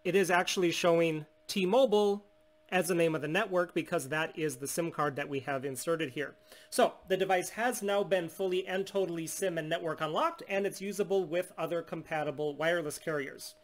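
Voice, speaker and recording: A man in his thirties from the United States, a steady, salesy business owner explaining a tablet unlock to camera in a small room. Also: a little high, brisk. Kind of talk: scripted product demonstration. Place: small indoor room at home.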